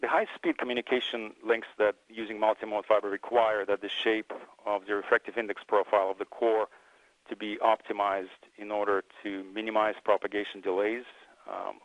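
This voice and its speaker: Low-voiced man giving a halting technical talk. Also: level tone, no echo, dull audio. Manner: halting, hesitant delivery with frequent fillers. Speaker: research engineer presenting. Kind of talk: technical conference presentation.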